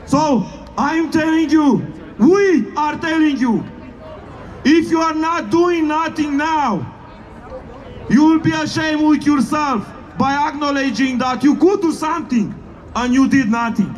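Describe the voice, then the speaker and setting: A man giving a shouted rally speech at an outdoor street protest, in halting English with slips of grammar. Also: extremely high pitch, a little hiss or hum. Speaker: protest speaker. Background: faint crowd.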